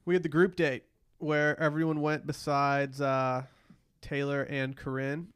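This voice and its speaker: An American man with a somewhat high voice co-hosts a casual show. He gives his opinions bluntly in easy conversation. The recording is dry and free of echo. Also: level tone, unhurried, fairly bright tone, clean tone. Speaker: podcast co-host. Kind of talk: casual chat, opinionated recap.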